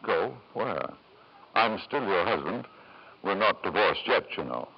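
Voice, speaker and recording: Controlling husband, muffled old radio. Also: male voice, low voice, expressive, measured pace, creaky, husky, heavily acted. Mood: placating. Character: ruthless, possessive rich husband and doting father. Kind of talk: 1950s radio drama acting. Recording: dull, lo-fi 1950s radio recording.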